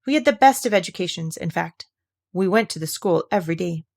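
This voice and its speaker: A woman's voice: fairly low pitch, expressive, brisk. A woman voicing a self-pitying, touchy male turtle creature from a storybook, sighing and doleful as it speaks. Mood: wistful. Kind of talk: storybook character voice.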